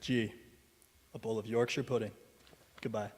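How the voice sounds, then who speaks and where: A man's voice: on the low side, little pitch movement, moderate pace, breathy edge. A man playing a dopey, lovestruck young delivery boy in an old-time radio comedy, live on stage. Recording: echoey hall, live stage performance.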